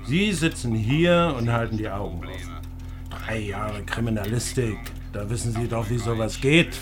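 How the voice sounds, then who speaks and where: An actor playing a jovial, condescending East German security superior in a German radio crime drama. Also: male voice, fairly low pitch, moderate pace, over music, close to an everyday voice. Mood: jovial, patronising.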